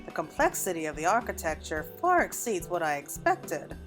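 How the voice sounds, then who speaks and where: A woman's voice: fairly low pitch, big pitch swings, over music. Woman reading a formal, courteous scholarly visitor. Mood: admiring. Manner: formal, measured wording.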